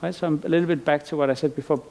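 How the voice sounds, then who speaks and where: Man, middle-aged, from Iceland, lecturing on stage; raspy, fairly high voice, musing and halting. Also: steady tone, darkish tone. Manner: thoughtful, rambling with frequent restarts and fillers. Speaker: artist giving a lecture. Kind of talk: conference lecture.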